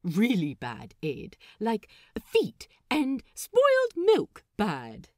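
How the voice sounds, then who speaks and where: Genteel pirate captain, female reader, eager storyteller, theatrical, swooping pitch, fussy and cheerful. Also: huge pitch swings, very slow, dry recording, studio quality, exaggerated voice. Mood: animated. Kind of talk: character voice in fan fiction audiobook.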